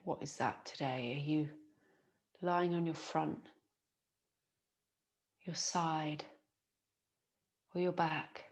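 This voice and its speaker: Female yoga nidra guide, low-pitched, very slow delivery, soothing and gentle, long pauses. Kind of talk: guided relaxation meditation.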